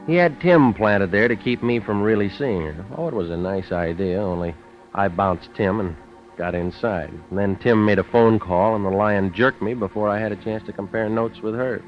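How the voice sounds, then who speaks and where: An actor playing a tough, weary, wisecracking private detective in 1940s radio style, speaking in a clipped, dry, deadpan way. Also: male voice, lowish voice, moderate pace, lightly acted. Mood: wry, matter-of-fact. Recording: muffled vintage radio recording with music.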